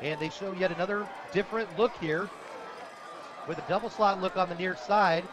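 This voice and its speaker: A male radio announcer with a high voice delivers live football play-by-play. He is energetic and excitable, working outdoors at a stadium with a loud crowd and clear background noise around him. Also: moderate pace. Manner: energetic, rises to shouts on big plays.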